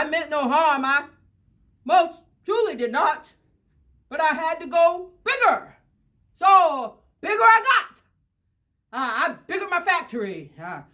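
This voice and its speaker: A woman playing a greedy, unrepentant storybook factory builder, telling his tale in a boastful, halting way. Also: very high-pitched, pushed and bright, exaggerated voice. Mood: defensive.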